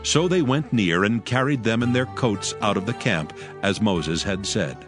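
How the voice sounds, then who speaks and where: Low-pitched male scripture narrator over music. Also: lively intonation. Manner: solemn, measured reading. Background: background music.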